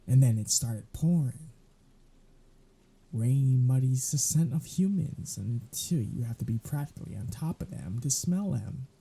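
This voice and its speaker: Male actor as sardonic vampire, very husky, lively swooping intonation, flippant, world-weary, ASMR roleplay. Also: mid-range voice, unhurried, exaggerated voice, rough recording. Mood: wry. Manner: sarcastic, flippant, conversational. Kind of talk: ASMR audio roleplay acting.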